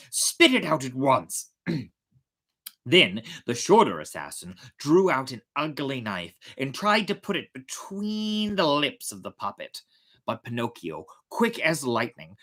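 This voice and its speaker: A woman narrating a storybook as host, in a theatrical, expressive reading style. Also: low-pitched. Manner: theatrical, dramatic.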